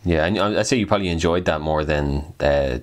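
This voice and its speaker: A man's voice: very deep voice, a little fast, background noise. A man hosting a podcast, asking casual questions in a wordy, tentative way. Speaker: podcast host, interviewer.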